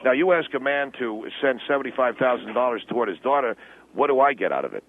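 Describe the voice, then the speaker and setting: A man's voice: medium pitch, lively intonation, fast talker, a little hiss or hum, natural voice. A man playing a brash, miserly middle-aged ad executive and father of the bride, blustering and argumentative on a radio call-in comedy show. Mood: businesslike. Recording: band-limited tinny phone line.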